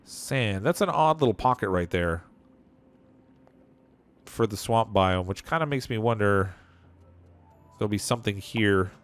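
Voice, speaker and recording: A man's voice: fairly low pitch, lively intonation, measured pace, long pauses. A man streaming a game, rambling as he thinks aloud and chuckling often, his voice wearing out. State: losing his voice. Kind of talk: casual game livestream commentary.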